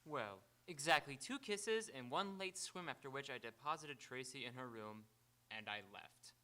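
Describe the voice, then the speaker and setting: A man's voice: medium pitch, normal speed, bright, forceful tone, lightly acted. A young man playing a candid, gallant, earnest suitor in live radio theatre. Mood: matter-of-fact.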